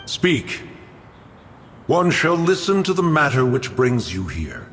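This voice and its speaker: Haughty ancient mountain spirit, echoing. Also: male voice, mid-range voice, slight background noise. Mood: stern, guarded. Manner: stern, archaic, stately, slow formal speech. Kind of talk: dramatic game voice acting. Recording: long echoing reverb with music behind.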